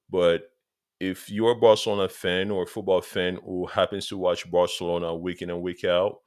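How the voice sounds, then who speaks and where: Deep-voiced man with a Congolese accent. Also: clean tone, no room sound, clean studio sound. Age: 30s. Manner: eager, talks straight to viewers, repeats points for emphasis. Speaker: football vlogger. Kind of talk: casual opinion vlog.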